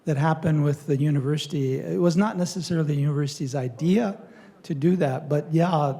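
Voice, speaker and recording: An older man lecturing an audience, his voice gravelly and fried at the edges. The tone is mellow and dark, and he stops often to search for words, filling the gaps with fillers and restarts. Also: fairly high pitch. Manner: halting, many fillers and restarts. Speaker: lecturer on Native studies. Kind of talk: public talk with audience Q&A.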